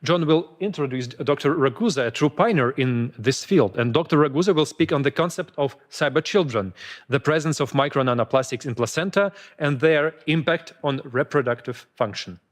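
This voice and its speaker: A man's voice: a little high, moderate pace. A man giving a prepared scientific talk at a conference, reading carefully with small stumbles and restarts. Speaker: conference speaker. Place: conference hall.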